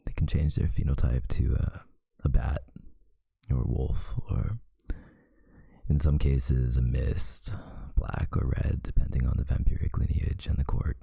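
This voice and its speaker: A man playing a tender, protective vampire lover in an intimate ASMR roleplay, speaking in a husky, hushed voice with deliberate pauses. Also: little pitch movement, no echo, studio quality, full character voice. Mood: gentle, explaining. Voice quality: very deep, gravelly, husky, breathy, often near a whisper.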